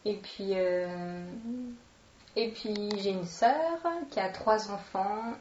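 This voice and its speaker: A woman's voice: mid-range voice, slow, darkish tone. Interviewee: woman, 27, French accent, informal and hesitant delivery, dull roomy recording with faint noise. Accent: French, from France. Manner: informal, hesitant, thinking aloud. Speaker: interviewee, actress and children's entertainer. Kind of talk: casual spoken self-introduction. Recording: roomy, dull, distant microphone, noisy.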